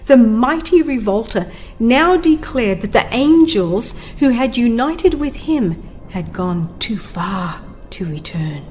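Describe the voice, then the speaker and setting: A woman's voice: fairly high pitch, darkish tone. A woman narrating a religious audiobook in a solemn, formal, dramatic scripted delivery. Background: music under the voice.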